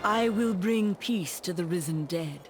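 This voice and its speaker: An actress playing a stern, composed necromancer in a video game, speaking formally and with measured gravity. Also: female voice, on the low side, lightly acted. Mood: solemn, resolute. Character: stern composed female necromancer come to aid the lands. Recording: dramatic game music behind.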